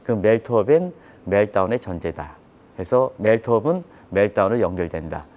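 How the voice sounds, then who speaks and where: Male economic commentator, fairly low register, explains markets to viewers with repetition and rhetorical questions. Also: expressive, brisk, darkish tone. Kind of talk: live financial news commentary.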